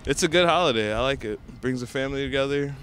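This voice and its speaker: A man born in Nigeria who grew up in Detroit, answering street interview questions in a relaxed, offhand way. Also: medium pitch, fast talker, slight background noise.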